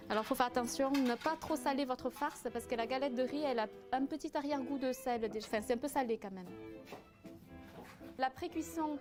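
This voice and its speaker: A woman of Vietnamese origin walks through a recipe in a casual demonstration, her voice sitting somewhat high. She hesitates often with fillers as she explains each step. Music plays clearly behind her. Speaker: cooking association cofounder demonstrating a recipe.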